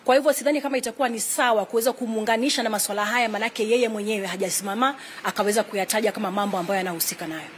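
A woman's voice: medium pitch, very fast, few pauses, a little hiss or hum. A woman in her thirties with a Kenyan accent, answering press questions as a spokesperson in a formal, explanatory way. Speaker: spokesperson for a retired president's office. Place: indoor room with flags, press briefing.

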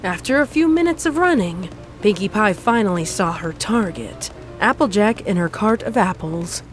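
A woman's voice: medium pitch, lively intonation, moderate pace, faint music behind. A woman reading a comic fan fiction story as scripted narration.

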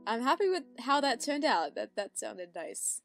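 An alto woman commentates as she watches, reacting off the cuff with excitable exclamations. Faint music plays beneath her voice. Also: a little high, slow pace. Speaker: commentator reacting to a fan animation. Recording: music under the voice.

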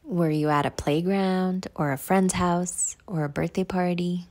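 Woman, low pitch, meditation guide, measured instructional delivery. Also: steady tone, a little mellow.

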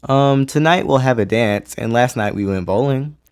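A man's voice: mid-range voice, normal speed, smooth, no room sound. A teenage boy answers interview questions as a student. He talks casually, and the sound is dry, with no room around the voice. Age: teens.

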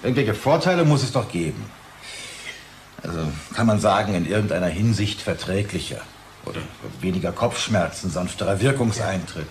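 Guy acting brisk pushy boss running a meeting, radio play, slight background noise. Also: lowish voice, lively intonation, natural voice. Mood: pressing. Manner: brisk, managerial, pressing. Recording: faint background room noise.

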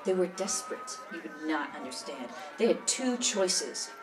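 A jaded woman smuggler acted by a woman tells her story in an audio drama interview. Her voice is low and worldly, matter-of-fact and cynical. She sounds a little far from the mic, with music clearly behind her. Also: expressive, moderate pace. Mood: defensive. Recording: slightly distant, music behind.

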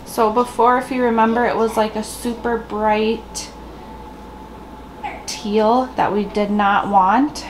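Raspy woman vlogging at home, unhurried. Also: a little high, fairly even intonation, noisy background. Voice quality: raspy morning voice. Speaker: family vlogger and mother. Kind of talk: casual chatty day-in-the-life vlog. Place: rooms at home, indoors. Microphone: camera at arm's length, sometimes set down.

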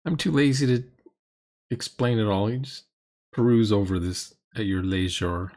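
A man's voice: fairly low pitch, normal speed, a little mellow. A middle-aged man giving mocking, off-the-cuff reaction commentary in a sarcastic, grumbling manner. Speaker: commentator reacting to a recorded tabletop game.

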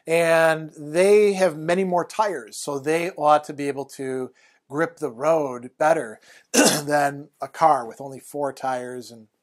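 A middle-aged man telling a personal story to camera, conversational and animated, with many fillers, recorded on a lapel mic in a small room. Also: a little high. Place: small room in front of a plain wall.

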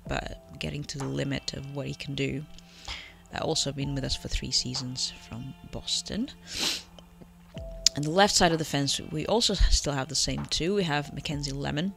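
A man's voice: a little high, creaky, sharp tone. A man with a cold, chatting casually through a football management game run with many fillers and self-corrections. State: has a cold. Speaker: game channel host. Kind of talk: casual gameplay commentary. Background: faint background music.

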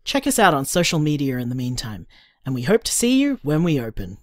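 Jason, a tender, expressive young man. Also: fairly high pitch, background noise. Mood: cheerful, welcoming. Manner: soft, musing, unhurried, affectionate. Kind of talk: voicemail audio drama.

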